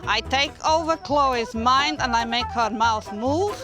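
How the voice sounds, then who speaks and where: A woman's voice: on the high side, unhurried, over music. A British woman talking to camera in a theatrical, show-off way. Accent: British English. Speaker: dinner party guest. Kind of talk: casual interview to camera.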